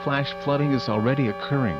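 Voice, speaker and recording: A synthetic male voice reading scripted emergency weather bulletins as an automated radio announcer, in a formal, impersonal, measured way. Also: medium pitch, over loud music. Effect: weather radio broadcast. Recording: muffled noisy weather radio broadcast, humming.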